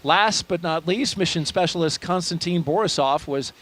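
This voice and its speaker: A man hosting a live launch broadcast, naming the crew and narrating their walkout in a steady, informative way. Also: a little high, moderate pace.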